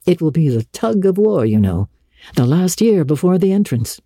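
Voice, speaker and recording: A woman voicing a kindly, encouraging schoolteacher in a dramatic storybook reading, speaking in a measured way. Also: on the low side, big pitch swings, dark tone, breathy edge, dry recording, clean studio sound, full character voice.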